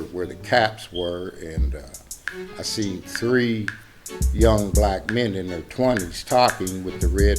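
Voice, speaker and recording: A man in his sixties from Pittsburgh, giving halting, filler-heavy opinions in an interview. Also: sits low. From Pittsburgh, Pennsylvania. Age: 60s. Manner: hesitant, halting with many fillers.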